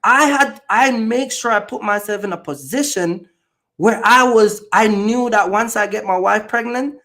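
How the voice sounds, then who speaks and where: A man's voice: high voice, unhurried, pushed and bright. A man from Jamaica with a Jamaican accent, hosting a casual podcast chat and making his points in a blunt, emphatic, repetitive way. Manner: emphatic, blunt, repeats himself. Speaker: channel host.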